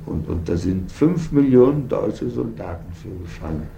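A man talking informally in a halting but emphatic way, repeating and breaking off phrases, on an old recording. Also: sits low, expressive, creaky, mellow, slight background noise.

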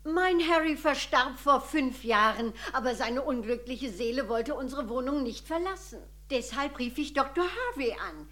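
A mournful widow gives a testimonial in an audio drama. Her voice is high-pitched. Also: lively intonation, moderate pace, few pauses, fairly bright tone. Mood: solemn, recounting.

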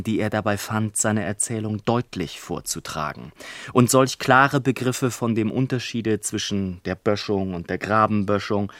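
Genial storyteller-author played by a man: mid-pitched, wry, theatrical radio narration, very dry acoustic. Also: no room sound, lightly acted. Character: wry, genial literary narrator, an author telling family history. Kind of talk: scripted narration in classic German radio play.

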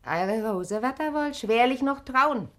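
A woman's voice: fairly high pitch, animated, measured pace, a bit bright. A woman acting a kindly, frank middle-aged wife in a German radio drama, speaking in a motherly, colloquial way. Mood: gently chiding.

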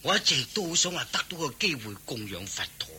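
An actor playing a devout, easily swayed household master in a Cantonese radio drama, sighing and exclaiming readily. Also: male voice, fairly high pitch, very animated, fast, sharp tone, a little hiss or hum, heavily acted. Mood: disappointed.

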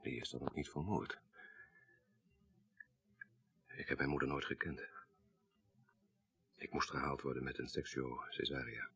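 An actor with a Netherlands Dutch accent playing an articulate, grieving professor in a radio crime drama, speaking precisely with dry irony. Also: male voice, very deep voice, fairly even intonation, unhurried, long pauses, fairly bright tone, slightly rough, full character voice. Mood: subdued, dry. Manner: precise, lecturing, dryly ironic. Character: grieving, articulate male professor, child of a murdered minister.

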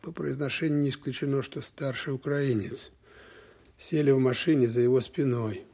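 An actor playing a thoughtful Soviet lieutenant colonel in an audio drama, husky and deliberate, pausing to reason aloud. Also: male voice, medium pitch, fairly even intonation, darkish tone. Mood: methodical briefing.

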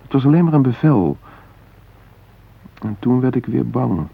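An actor playing a shaken former hostage, retelling a brush with death in an intense, introspective radio drama monologue. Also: male voice, medium pitch, expressive, measured pace, creaky, breathy edge, full character voice. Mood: intense, reflective. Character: shaken male former train hostage recounting facing death. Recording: muffled, narrow-band old radio recording.

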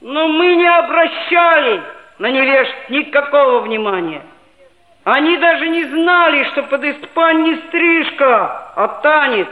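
A woman's voice: high voice, animated, measured pace, narrow, tinny audio. An actress playing an earnest, proud seventh-grade schoolboy who narrates his own story in a 1960s radio play. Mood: matter-of-fact storytelling. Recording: thin band-limited old radio recording, music behind.

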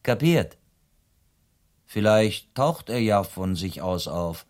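Sly, low-voiced cop. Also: male voice, lively intonation, takes it slowly, darkish tone. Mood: sly, matey. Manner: colloquial, insinuating. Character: sly, matey, corrupt policeman hinting at a deal. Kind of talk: German radio play acting.

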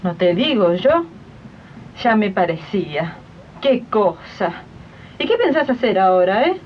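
A woman's voice: medium pitch, sing-song, measured pace, a little hiss or hum. A woman playing a haughty, suspicious lady of the house in a 1980s community radio drama, condescending and nagging, with an Argentine accent. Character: haughty, suspicious female employer of a maid. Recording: muffled 1980s archive radio recording.

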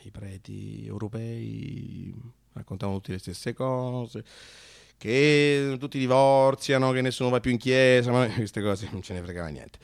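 A man with a Roman accent telling an autobiographical story as a theatrical monologue, colloquial and animated, with hesitations. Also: mid-range voice, a little slow, gravelly, clear, resonant tone, no echo. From Italy. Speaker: actor and storyteller.

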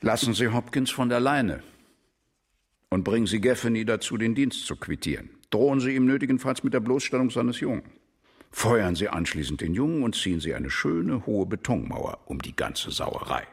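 Gravelly, husky police subordinate. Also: male voice, mid-range voice, lively intonation, moderate pace. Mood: cool, pragmatic. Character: cool, deferential male police officer, astute subordinate. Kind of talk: German radio drama acting.

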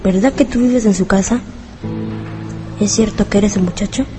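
A woman's voice: mid-range voice, moderate pace, over music, natural voice. A woman playing a brave, protective young schoolboy in a radio drama, frank and a little bossy. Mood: indignant. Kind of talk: Spanish-language radio drama acting.

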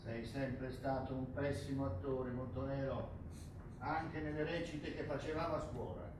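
A man's voice: mid-range voice, steady tone, measured pace, natural voice. A male stage actor playing a bitter, defiant rugby coach, confrontational and accusing in a theatrical drama. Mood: hostile, scornful. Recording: very echoey distant stage recording, faint noise.

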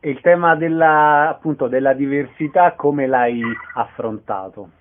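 A man's voice: fairly high pitch, unhurried. A man working as an interviewer, putting short questions over a narrow telephone-like connection.

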